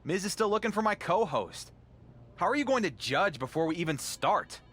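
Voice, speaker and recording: Dry, sarcastic champion wrestler. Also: male voice, sits high, big pitch swings, normal speed, a bit bright, slightly rough, full character voice. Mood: defensive. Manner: dry, sardonic retorts, defensive under accusation.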